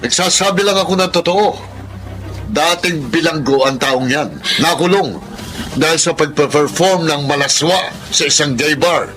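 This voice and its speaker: An actor playing a possessive, jealous suitor with a taunting, insistent delivery in a Tagalog radio drama. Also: male voice, a little high, normal speed, a bit bright, lightly acted, rough recording. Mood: taunting, aggressive. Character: possessive, jealous, mocking male suitor who bullies and pleads.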